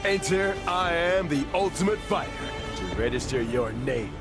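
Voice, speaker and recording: A man's voice: a little high, lively intonation, normal speed, music in the background. An actor playing a flamboyant male presenter of a video game program, announcing updates in grandiose, theatrical salesman patter. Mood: grand hype. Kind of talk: scripted promotional game-show announcing.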